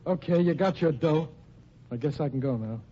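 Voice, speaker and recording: An actor playing a tough, surly gangster boss with blunt slangy talk in an old radio crime drama. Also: male voice, fairly high pitch, normal speed, slight background noise, natural voice. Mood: grudging, suspicious. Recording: dull old radio recording.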